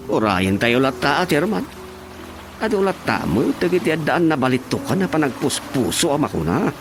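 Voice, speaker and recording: An actor playing a villager talking to a local official in a radio drama, with a Filipino accent. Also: male voice, on the high side, very animated, moderate pace. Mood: conversational. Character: villager reporting to the barangay chairman. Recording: clear music behind. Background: music.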